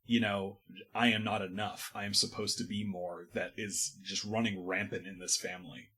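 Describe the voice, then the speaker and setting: A man on a podcast panel discussing literature casually, analytical and wordy, often halting and repeating himself. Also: on the low side, fairly even intonation, sharp tone, slightly rough.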